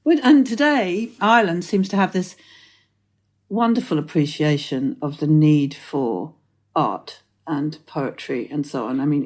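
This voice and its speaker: A woman's voice: sits low, a little mellow. An Englishwoman hosting a poetry podcast, musing aloud in long, thoughtful sentences. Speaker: podcast host. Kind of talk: conversational interview.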